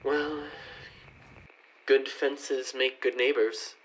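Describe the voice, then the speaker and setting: Woman acting low-voiced, weary, guarded boyfriend. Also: fairly even intonation, drawn-out words, a little hiss or hum, full character voice, poor audio. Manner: hesitant, stammering, guarded. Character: William, weary, withdrawn boyfriend fed up with his relationship. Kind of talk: radio play acting, naturalistic dialogue.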